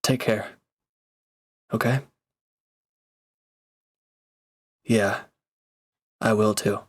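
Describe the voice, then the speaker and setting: Male actor for reformed villain in intimate audio roleplay: lowish voice, very slow delivery, guarded yet caring, halting and earnest. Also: steady tone. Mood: tender, reluctant. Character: gruff but caring reformed villain, flustered, reluctant to leave.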